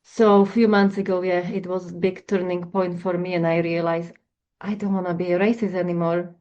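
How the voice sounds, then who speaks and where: Hesitant soft-spoken woman, lo-fi sound. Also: on the low side, level tone, brisk. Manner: hesitant, searching for words. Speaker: guest counsellor. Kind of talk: reflective personal conversation. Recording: dull lo-fi audio.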